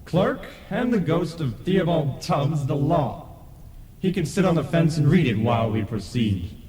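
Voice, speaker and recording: An actor playing a stern, pompous ghost who presides as judge, declaiming officiously in a radio play. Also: male voice, fairly high pitch, sing-song, a little slow, warm tone. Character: stern, pompous male ghost chairman of a tribunal. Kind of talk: old-time radio play acting. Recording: echoey long reverb, faint tape noise.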